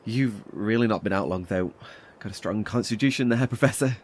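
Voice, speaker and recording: Low-voiced, hesitant haunted survivor, acted by a man. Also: darkish tone, breathy edge. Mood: hesitant, reassuring. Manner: hesitant, halting, with nervous chuckles and sighs. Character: weary, anxious male survivor, wry and haunted. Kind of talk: horror audio drama acting.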